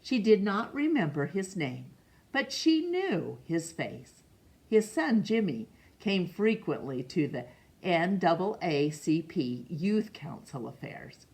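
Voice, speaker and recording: A middle-aged woman reading a picture book aloud in a measured, expressive way for children. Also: lowish voice. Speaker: storytime reader. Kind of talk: read-aloud storybook narration. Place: small room indoors.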